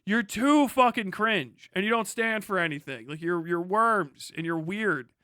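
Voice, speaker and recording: A man's voice: sits high, lively intonation, a little slow, sharp tone, clear, resonant tone, no room sound, studio quality. A man hosting a casual livestream, talking in a blunt, profane, mocking way. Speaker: livestream host and podcaster. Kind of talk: casual livestream rant and commentary.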